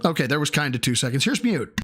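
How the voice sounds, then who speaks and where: A man's voice: fairly high pitch, sing-song, fast talker, fairly bright tone, a little hiss or hum. A man doing profane, sarcastic reaction commentary on a clip, mocking the speaker and reading out viewer messages. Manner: sarcastic, swears a lot, mocking.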